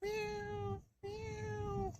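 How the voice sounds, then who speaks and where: A man's voice: very high voice, monotone, very slow, a little mellow, a little hiss or hum, pitched above the performer's own voice, exaggerated voice. A man voicing a small, helpless, pitiful cat with a whiny mewing manner in a storybook. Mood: pleading.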